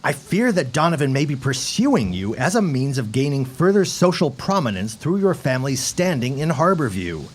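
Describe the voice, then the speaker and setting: An actor playing an earnest, frustrated gentleman neighbor in a period audio drama, speaking formally and emphatically in a husky voice. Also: mid-range voice, sing-song, moderate pace, hardly any pauses. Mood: urgent, warning.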